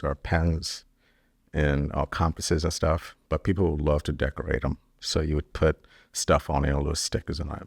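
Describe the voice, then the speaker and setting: Very deep gravelly man from Kenya. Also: dry recording, clean studio sound. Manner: relaxed, rambling, many fillers and restarts. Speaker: business founder. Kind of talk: interview answers.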